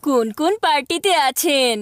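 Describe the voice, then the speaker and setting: A woman voicing a cheeky, glib big-brother boy in a children's cartoon, boyish and smooth-talking. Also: high voice, moderate pace, a little hiss or hum, close to an everyday voice. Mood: glib excuses. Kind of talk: Bangla children's cartoon dubbing. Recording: music behind the voice.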